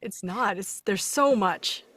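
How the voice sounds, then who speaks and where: A woman's voice: mid-range voice, slow pace, fairly bright tone, slightly rough, no room sound. A woman co-hosting a podcast, chatting casually in a self-deprecating way. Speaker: podcast co-host, teacher. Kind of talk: casual chat.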